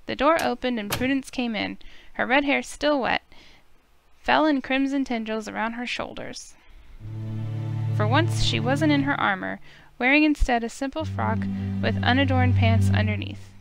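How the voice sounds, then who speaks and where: Creaky-voiced woman narrating a fantasy story. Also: fairly high pitch, moderate pace. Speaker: streamer reading a visual novel aloud. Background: music.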